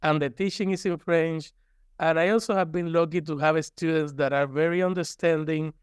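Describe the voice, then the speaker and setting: A man's voice: a little high, fairly even intonation, normal speed, clean tone, no room sound. A man from Cuba with a Cuban accent and native Spanish, speaking candidly and conversationally as an interview guest. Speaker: guest, university professor.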